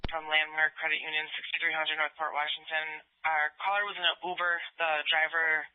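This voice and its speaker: Higher-pitched male dispatcher on tinny radio. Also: little pitch movement, fairly quick, a little hiss or hum. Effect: police radio transmission. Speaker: police dispatcher. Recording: tinny phone-like police scanner radio.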